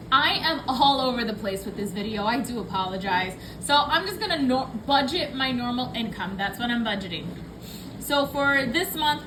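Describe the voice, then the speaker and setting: A woman in her early thirties with a loud voice, chatting in a rambling, apologetic way in her small apartment. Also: high voice. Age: early 30s. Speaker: budgeting YouTuber. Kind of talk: casual chat. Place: small apartment, dishwasher running. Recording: echoey room with appliance noise. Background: dishwasher running.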